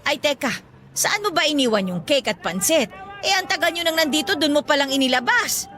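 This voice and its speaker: A woman playing a warm, forgetful elderly grandmother in a Filipino radio drama, exclaiming and chuckling as she fusses. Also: high-pitched, animated, a little fast. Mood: touched. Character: warm, teary, forgetful elderly grandmother who runs a store.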